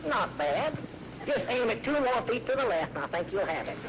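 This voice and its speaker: A performer playing a sharp-tongued, teasing old granny in a 1940s radio comedy, with a high bleating voice. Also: male voice, very animated, a little fast. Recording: crackly noisy old radio broadcast.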